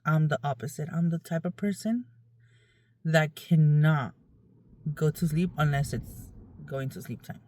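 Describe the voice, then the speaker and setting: A woman's voice: deep voice, unhurried, pauses often, a little mellow. A woman vlogger talking casually to her viewers in a candid, rambling, conversational way.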